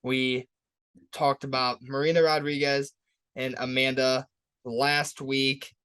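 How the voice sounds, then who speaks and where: A man's voice: medium pitch, flat delivery, slow pace, fairly bright tone, clear, resonant tone. A man co-hosting a podcast, chatting casually about upcoming fights in a hyped, emphatic way that leans on repetition. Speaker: podcast co-host. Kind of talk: casual sports podcast chat, fight preview.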